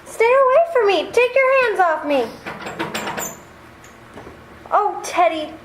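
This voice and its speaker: A woman's voice: very high voice, animated, slow, full character voice. A woman playing a spirited, indignant young fiancée in an old-time radio play. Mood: frightened. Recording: echoey room, clear background noise.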